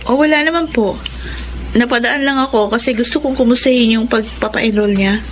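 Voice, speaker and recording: A woman's voice: on the high side, fairly quick, slight background noise, lightly acted. A woman playing a teasing young student and loyal best friend in a Filipino radio drama, casual and breezy. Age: young adult.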